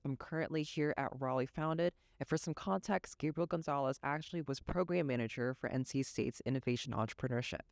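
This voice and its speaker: A man's voice: mid-range voice, fairly quick, gravelly, few pauses, no echo. A man hosting a university channel, who gives an upbeat scripted introduction to camera. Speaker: interviewer, university channel host.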